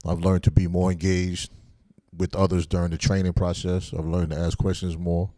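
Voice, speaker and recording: A man's voice: deep voice, flat delivery, moderate pace, warm tone, no room sound, studio quality. A middle-aged man co-hosting a podcast in laid-back, streetwise casual chat, often asking "you know what I'm saying". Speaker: podcast co-host.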